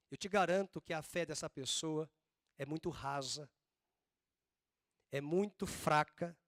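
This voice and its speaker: A man preaching a sermon as pastor, with a drawn-out Northeastern Brazilian accent and slow, emphatic stresses. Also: a little high, dry recording, studio quality. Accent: Northeastern Brazilian Portuguese.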